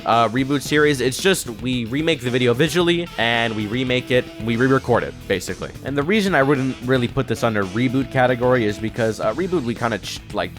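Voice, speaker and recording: A man hosts an announcement in a loose, conversational manner. His voice sits at a medium pitch and he rambles informally, with music playing behind him. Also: a bit bright. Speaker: host, fandub creator. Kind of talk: casual presentation-style announcement. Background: background music.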